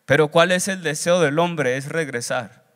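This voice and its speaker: High-voiced young preacher man in hall. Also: normal speed, fairly bright tone. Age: young adult. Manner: earnest, didactic, repeats phrases for emphasis. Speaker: seminary student preacher. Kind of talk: sermon. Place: large church auditorium stage. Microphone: handheld wired microphone. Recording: reverberant hall through PA.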